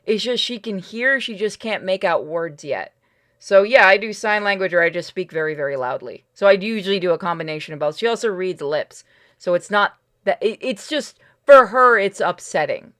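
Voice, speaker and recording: A woman's voice: mid-range voice, animated, normal speed, fairly bright tone. A woman live-streaming a game and chatting casually with viewers, informal and rambling as she explains things. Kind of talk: casual live-stream chat over gameplay.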